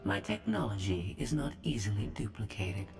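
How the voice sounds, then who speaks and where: An actor playing a cold, proud droid intelligence in a video game, formal and precise. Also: male voice, fairly low pitch, big pitch swings, normal speed, creaky, over music.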